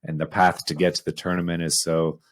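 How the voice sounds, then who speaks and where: A man's voice: deep voice, little pitch movement, fairly quick. A man co-hosting a podcast, chatting casually and informally about films. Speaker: podcast co-host. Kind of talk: casual film podcast chat.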